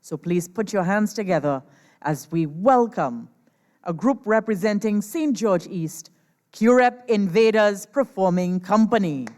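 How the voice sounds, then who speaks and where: Female MC, formal and deliberate stage announcing, long hall reverb. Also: medium pitch, animated, a little mellow. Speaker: host, master of ceremonies at a competition. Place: large performance venue, over a PA system.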